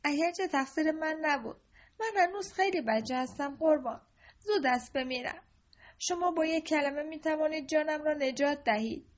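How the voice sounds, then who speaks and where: A woman voicing a frightened poor boy who is taken for a prince, pleading in a high anxious voice on a dull lo-fi recording. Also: lightly acted.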